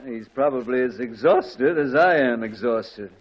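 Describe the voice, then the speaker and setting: A man's voice: medium pitch, unhurried, muffled recording, close to an everyday voice. A man playing a sarcastic, weary middle-aged father in a 1940s radio comedy. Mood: exasperated, sarcastic. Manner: dry, weary, exaggerated sarcasm. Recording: old 1940s radio broadcast.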